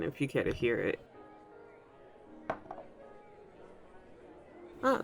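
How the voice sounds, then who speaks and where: A woman's voice: low-pitched, a little mellow. A woman voicing a flirtatious thunder fae in an intimate audio roleplay, teasing and coy as she addresses the listener. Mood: smooth, inviting. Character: flirtatious thunder fae at a New Year's party, smooth charmer. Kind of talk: ASMR audio roleplay, intimate one-sided dialogue.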